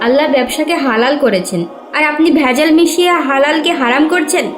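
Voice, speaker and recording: A woman's voice: sits high, brisk, music in the background, close to an everyday voice. A woman voicing a devout, pleading wife in a cartoon, with an earnest, admonishing manner. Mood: reproachful. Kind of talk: cartoon voice acting in Bengali.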